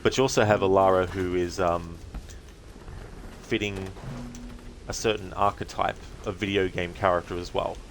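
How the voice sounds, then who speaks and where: A man giving casual, reflective livestream commentary as a game streamer, speaking haltingly in short phrases. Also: sits low, steady tone, faint music behind.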